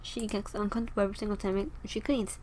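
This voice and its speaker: A young woman with a slightly raspy, tired voice chatting casually as she plays a life-sim game, rambling and talking to her characters. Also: mid-range voice, steady tone, rapid-fire, a little mellow, slight background noise. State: tired, sore throat. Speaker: gameplay video host. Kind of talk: casual gameplay commentary.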